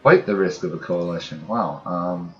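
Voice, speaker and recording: A man's voice: low voice, normal speed, darkish tone, muffled recording, soft background music. A man giving casual commentary on a strategy game, thinking aloud and muttering to himself in short fragments. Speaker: gamer, host of a let's play series.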